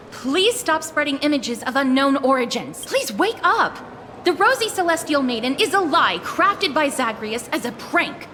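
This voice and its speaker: A woman's voice: high-pitched, sharp tone, big-room sound, a little hiss or hum, heavily acted. A woman playing a dutiful, exasperated citizen in a video game, speaking emphatically.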